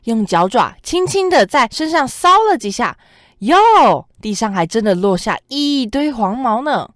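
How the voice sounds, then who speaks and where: A young woman narrating a scripted children's story in an expressive, dramatic way. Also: a little high, measured pace, dry recording, clean studio sound. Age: young adult.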